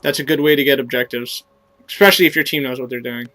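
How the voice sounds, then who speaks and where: A man's voice: mid-range voice, steady tone, fast talker, pushed and bright. A young man with a stuffy nose and sore throat from a cold, casually commentating his own game and coaching viewers with plenty of fillers. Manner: explanatory, coaching tone with many fillers. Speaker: gameplay commentator.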